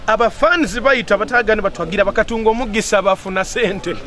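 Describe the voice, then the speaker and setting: A man's voice: high voice, fast. A man in his thirties being interviewed outdoors at a gathering, talking in an upbeat way and mixing English phrases into his talk. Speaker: band leader interviewed. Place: outdoors at a gathering, village road. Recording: loud music playing behind.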